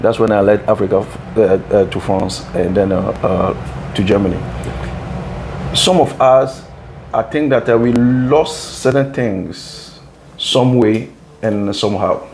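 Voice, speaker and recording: A man's voice: lowish voice, measured pace, noisy background. Earnest Ghana-born man hosting an interview. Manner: earnest, repeats words and restarts, many affirming "mm-hmm"s. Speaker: interview host. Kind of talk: conversational interview.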